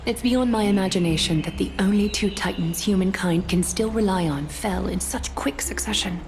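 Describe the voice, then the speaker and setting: A woman's voice: mid-range voice, normal speed, a little mellow, a little hiss or hum, lightly acted. A woman playing a composed, grave noble leader in a video game, speaking with formal authority.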